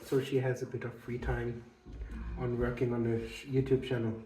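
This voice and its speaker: Guy from germany, calm steady talker, lots of uh and um, mid voice, walking through a farmhouse vlog. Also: mid-range voice, a little hiss or hum, low-quality recording. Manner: calm, steady, with frequent uh and um pauses. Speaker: channel co-host and narrator. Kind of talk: casual chat and tour narration.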